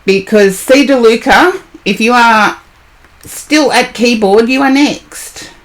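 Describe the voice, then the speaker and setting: A hoarse woman talks to her live-stream chat in a relaxed, unhurried way. Her voice sits a little high, and she greets viewers by name as she goes. The talk is casual and rambling, with lots of small asides. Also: low-quality recording. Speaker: live-stream tarot reader.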